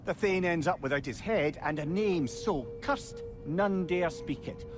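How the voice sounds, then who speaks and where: A man's voice: on the high side, unhurried, music in the background, lightly acted. A man playing a witty, avuncular older talking head in a video game, a learned storyteller with a Scottish accent and wry, eloquent delivery. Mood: relishing storyteller. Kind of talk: theatrical video game acting.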